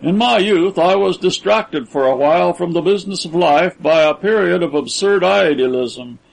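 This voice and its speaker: Storybook role: proud wealthy patriarch in his forties done by a male narrator, pompous declaiming, pitched high, husky. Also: normal speed, rough recording. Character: proud, robust rich patriarch of about forty.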